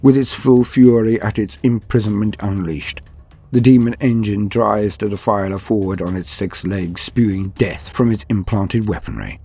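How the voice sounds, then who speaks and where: A man's voice: lowish voice, dark tone, breathy edge. A man reading scripted lore narration in a solemn, dramatic manner. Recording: music behind the voice. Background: background music.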